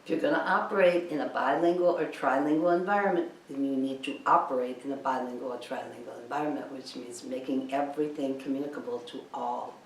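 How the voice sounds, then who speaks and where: Echoey, low-voiced woman giving emphatic training talk. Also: a little fast, hardly any pauses. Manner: emphatic, insistent, rhetorical questions. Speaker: cleaning business trainer. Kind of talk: instructional talk, training lecture. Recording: echoey room, distant mic, background noise.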